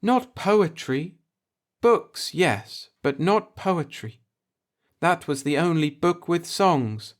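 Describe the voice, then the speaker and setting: A mournful old alien man, acted out by a male narrator, speaks in a raised, swooping pitch. He is proud and devout, with a bitter, formal solemnity suited to a science fiction storybook. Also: expressive, slow pace. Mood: grieving, anguished. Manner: formal, solemn, grieving speech.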